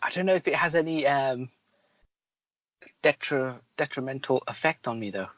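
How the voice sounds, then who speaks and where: A man playing through an adventure gamebook, reacting quickly with casual, jokey banter. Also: medium pitch, animated, fairly bright tone, dry recording, muffled recording. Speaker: player in a gamebook playthrough.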